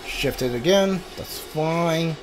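A man's voice: fairly high pitch, takes it slowly, noisy background. A man doing live gameplay commentary as a streamer, thinking aloud as he plays. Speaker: game streamer.

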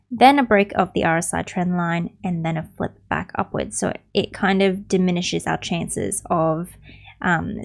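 A woman in her twenties, hoarse from losing her voice, walking through a crypto chart analysis close to a studio microphone at home. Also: on the low side. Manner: explanatory, steady, repeats "right?" as a check-in. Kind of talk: technical chart analysis walkthrough. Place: small room at home. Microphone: studio mic on stand close up.

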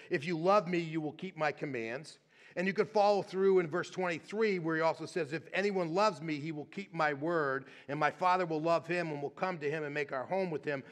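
Conversational middle-aged man preaching. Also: on the high side. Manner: conversational, animated, frequent restarts and "right?". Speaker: pastor. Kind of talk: sermon. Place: church stage, indoors.

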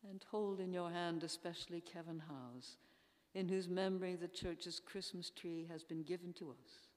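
An older woman leading formal prayers in a solemn, measured way, reading with pauses between phrases. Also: fairly low pitch, steady tone, a little mellow. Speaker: church intercessor leading prayers. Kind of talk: formal read liturgical prayer. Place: large church interior. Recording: echoey church hall, long reverb.

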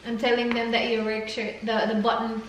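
A woman's voice: a little high, completely flat delivery, a little fast. A young woman from the Philippines, chatting playfully and giggling as she shows her groceries. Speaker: vlogger. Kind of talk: casual chat. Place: large home kitchen, indoors. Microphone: far camera. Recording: echoey kitchen from far off, noisy.

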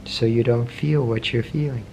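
A man leading a guided meditation, asking slow, gentle questions with long pauses between phrases. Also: mid-range voice, steady tone, vocal fry, low-quality recording. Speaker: meditation teacher. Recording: faint background noise with meditation music.

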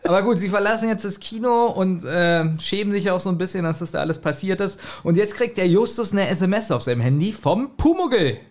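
A man with a voice a little higher than most hosts a podcast. He talks in a casual, conversational way, retelling scenes and commenting on them with a joking, chuckling manner. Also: animated, brisk, few pauses. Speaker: podcast host. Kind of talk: casual chat, retelling and reviewing an audio drama.

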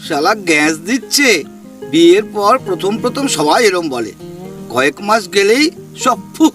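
An actor playing a mischievous male ghost in an audio drama, mocking and bossy in a cheeky drawn-out way. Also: high voice, very animated, a bit bright, faint music behind, full character voice.

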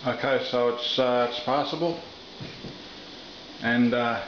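A middle-aged man explaining his tiling work in a casual, halting way, with many fillers, filmed indoors in a kitchen on a camera mic. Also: medium pitch, steady tone, fairly bright tone. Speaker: home renovator. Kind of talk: casual how-to commentary while working. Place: kitchen under renovation, indoors. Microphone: camera mic, off-camera speaker. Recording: roomy, dull camera audio with faint noise.

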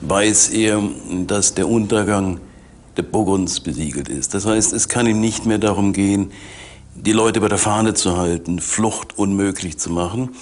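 An older man in his sixties giving analytical expert commentary in measured, academic phrasing. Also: lowish voice, darkish tone. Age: older, 60s. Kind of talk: analytical documentary interview. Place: dim indoor interview set.

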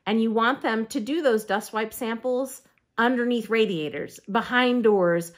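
A woman's voice: fairly high pitch, a little slow. A middle-aged woman hosting a casual video-call interview and explaining things steadily and informatively, with the occasional "um". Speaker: show host. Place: indoor room against a plain backdrop.

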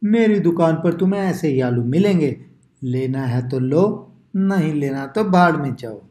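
A woman's voice: deep voice, animated, a little slow, dark tone, reverberant. A woman playing argumentative, complaining village market men in a cartoon story.

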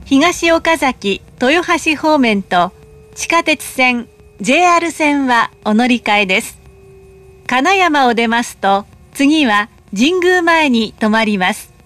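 A woman delivers a recorded train announcement in a high voice. She speaks slowly and politely, with careful, measured enunciation. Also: animated, fairly bright tone. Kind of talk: recorded public announcement, scripted.

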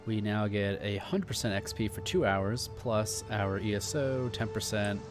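Male gaming host, casual commentary, slow delivery, jokey asides, clear background music. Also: fairly low pitch. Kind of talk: casual let's play commentary. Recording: loud game music behind voice.

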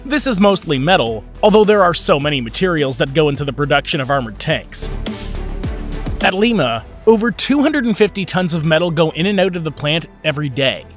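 A man giving scripted documentary narration in an even, informative way. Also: a little high, brisk. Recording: voice-over with faint background music.

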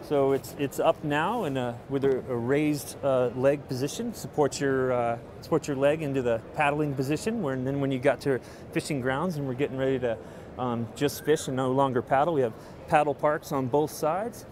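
Man presenting gear amid loud show-floor noise. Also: mid-range voice, normal speed. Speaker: kayak company representative presenting a product. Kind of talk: casual product walkthrough interview. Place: large indoor convention hall booth. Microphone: handheld interview microphone held by interviewer. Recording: noisy busy trade show floor.

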